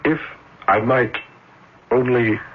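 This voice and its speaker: An actor playing an ardent, lovestruck young student in florid, earnest old radio drama style. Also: male voice, mid-range voice, close to an everyday voice. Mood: ardent, tender. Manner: earnest, florid, halting declarations. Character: ardent, lovestruck young male student, sentimental and high-strung. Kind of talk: old radio drama acting with first-person narration. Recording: dull, noisy old radio broadcast.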